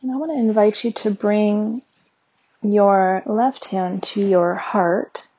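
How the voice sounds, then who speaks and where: Slow, husky-voiced woman guiding meditation. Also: medium pitch, steady tone, lo-fi sound. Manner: slow, soothing, gentle instructions. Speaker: meditation guide. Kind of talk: guided meditation.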